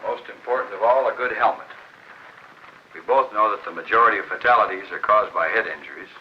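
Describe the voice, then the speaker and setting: A man's voice: fairly low pitch, fairly quick, close to an everyday voice. An American man acting in a 1960s training film as an earnest motorcycle rider who patiently explains safety. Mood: earnest, instructive. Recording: dull, noisy 1960s film soundtrack.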